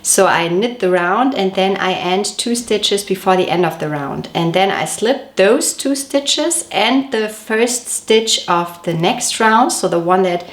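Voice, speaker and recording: A woman's voice: medium pitch, lively intonation, a little slow, hardly any pauses. A woman hosting a knitting podcast, chatty and detailed, her voice a little off as she recovers from a cold. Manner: chatty, detailed, gently self-correcting. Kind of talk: casual show-and-tell podcast. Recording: echoey room.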